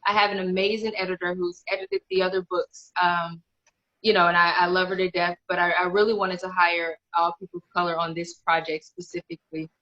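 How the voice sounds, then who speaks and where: Bright-voiced woman on a lo-fi call. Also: on the low side, flat delivery, a little fast. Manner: candid, rambling, many restarts. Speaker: guest author. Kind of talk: casual interview chat. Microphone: video call.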